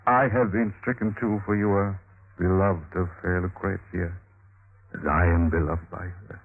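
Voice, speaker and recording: A man's voice: low-pitched, fairly even intonation, a little slow. A man acting a scheming Italian Renaissance nobleman who feigns lofty grief, in a florid, theatrical classic radio drama style. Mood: mournful, pleading. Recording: tinny, band-limited old radio recording.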